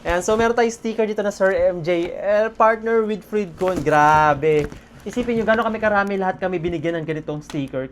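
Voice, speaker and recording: A man with a Filipino accent vlogging casually about his day, speaking in an excitable, appreciative way. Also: high voice. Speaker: motorcycle vlogger. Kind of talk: casual vlog talk to camera. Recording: noisy background ambience.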